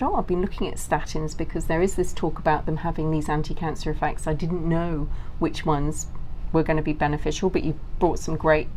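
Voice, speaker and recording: A woman's voice: low voice, a little mellow, slight background noise, low-quality recording. A middle-aged British woman talking as an interview guest, explaining things informally in long, self-interrupting sentences.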